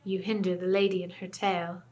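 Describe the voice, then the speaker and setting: A woman reading a storybook, voicing a noble young king who speaks formally and gravely. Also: on the low side, flat delivery, fairly quick, a little mellow, lightly acted. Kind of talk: audiobook character reading.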